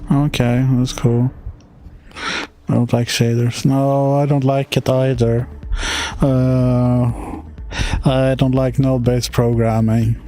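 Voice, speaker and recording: A man with a Swedish accent chatting casually on a live stream, talking haltingly with lots of pauses and filler sounds. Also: mid-range voice, level tone, warm tone. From Sweden. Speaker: streamer and game developer.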